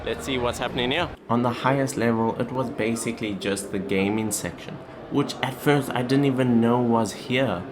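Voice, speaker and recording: A man's voice: medium pitch, normal speed, few pauses, music in the background. A man hosting a vlog, narrating in voice-over in an informal, conversational way. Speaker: vlog host.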